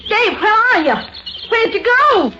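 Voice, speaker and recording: An actress playing an anxious farm wife in a 1950s radio drama. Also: female voice, very high-pitched, very animated, a little slow, highs cut off, heavily acted. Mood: frantic. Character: distraught rural farm wife, fearful and bewildered. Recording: scratchy, noisy 1950s radio recording.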